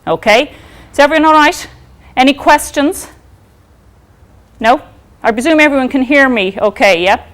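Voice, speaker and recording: A woman with an Irish accent giving a law lecture to a class, talking loudly and informally, often checking in with "okay?". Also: high voice, normal speed, long pauses. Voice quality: loud, carrying voice. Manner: chatty, checks in with frequent "okay?". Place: lecture room.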